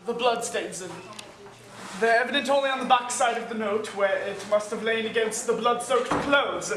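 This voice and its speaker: A man's voice: very high voice, normal speed, fairly bright tone. A man speaking in a roomy space. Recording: roomy, music over the voice.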